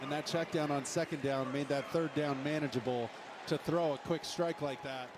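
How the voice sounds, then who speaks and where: A male colour analyst with a slightly high voice breaks down football plays for a broadcast. He explains things in coaching jargon, with clear game noise behind him. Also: fairly even intonation, normal speed. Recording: noisy game broadcast audio.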